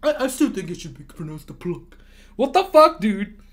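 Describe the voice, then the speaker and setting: A man who did not grow up speaking English chats on his livestream, ranting and laughing as he goes. His pitch swings widely from phrase to phrase, and he swears freely. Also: sing-song, moderate pace, a bit bright. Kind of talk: casual livestream chat.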